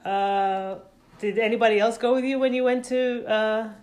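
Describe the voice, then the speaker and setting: A woman's voice: a little high, fairly even intonation, moderate pace, a little mellow. A woman hosting a podcast and interviewing a guest, often rephrasing her questions and using fillers. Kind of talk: casual interview.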